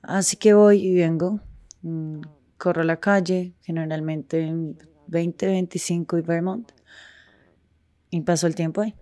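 A woman speaking frankly in a street interview, with halting pauses and restarts. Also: fairly low pitch, steady tone, warm tone, no room sound.

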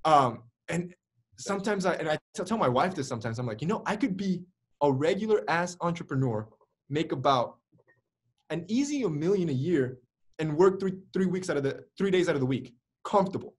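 A man's voice: fairly high pitch, lively intonation, brisk, slightly rough. A man in his early twenties, speaking as an interview guest over a video call, telling his own story energetically with many "like"s and "right?"s. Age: early 20s. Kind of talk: candid storytelling.

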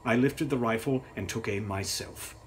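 Young guy reading a gothic horror book out loud, very dramatic and serious, bit of background noise. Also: mid-range voice, moderate pace. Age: young adult. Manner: theatrical, grave. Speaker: author reading his novel.

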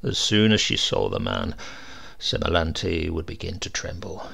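A man reading a classic short story aloud in a measured, dramatic storytelling manner. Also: low-pitched, sing-song, creaky, a bit bright, slightly rough. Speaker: narrator.

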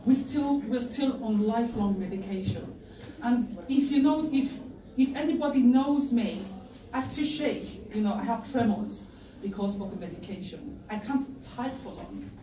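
A woman's voice: a little high, lively intonation, mellow. Earnest woman speaking in an echoey room. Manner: earnest and emotional, pausing to gather herself. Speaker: patient campaigner giving a speech. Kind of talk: personal testimony speech. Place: wood-panelled meeting room, indoors, at a lectern. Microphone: far camera in the audience. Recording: echoey room from far off, faint ambience.

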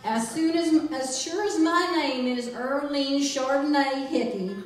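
A woman's voice: high-pitched, measured pace, far from the mic, a little hiss or hum. A woman playing a cantankerous, folksy farm wife with rustic grammar in an old-time radio comedy skit. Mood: indignant, folksy. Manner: drawling rustic grammar, mock-earnest. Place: small library room, on stage. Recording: echoey room, picked up from afar.

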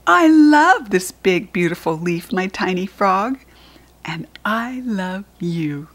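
A woman with an American accent voicing a loving animal mama in a picture book, tender, drawn-out and emphatic. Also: mid-range voice, lively intonation. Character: loving animal mama praising her baby's gift.

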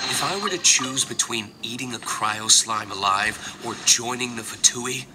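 A man's voice: mid-range voice, background noise, close to an everyday voice. An actor playing a brooding, scornful young nobleman in a video game, speaking formally with dry sarcasm. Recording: loud game music behind the voice.

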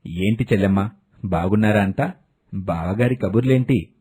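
A man's voice: on the low side, moderate pace, dark tone, husky, voice pushed down. A man voicing a friendly older village man, an affectionate elder brother in a moral story. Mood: friendly greeting.

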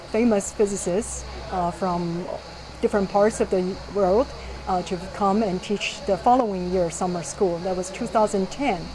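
A middle-aged woman physics professor being interviewed, speaking in a measured, explanatory way with frequent fillers. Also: medium pitch. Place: indoors by a whiteboard. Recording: noisy background.

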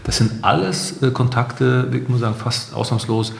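A man's voice: medium pitch, little pitch movement, moderate pace, creaky. A middle-aged man answering interview questions conversationally, as a company co-founder and guest. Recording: roomy echo.

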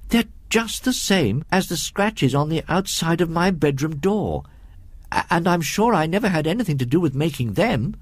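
Man as young boy, polite, earnest, curious, lifted pitch, slightly husky, storybook character. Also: lively intonation, normal speed, no room sound.

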